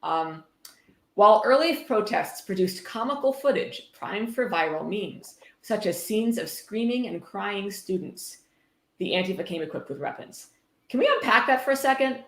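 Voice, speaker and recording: A woman's voice: mid-range voice, animated, normal speed, fairly bright tone. Female livestream host, mid-range voice, casual and sardonic commentary, echoey room sound. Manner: wry, sardonic, casually sweary. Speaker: host of a live-streamed reading. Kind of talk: casual commentary while reading aloud.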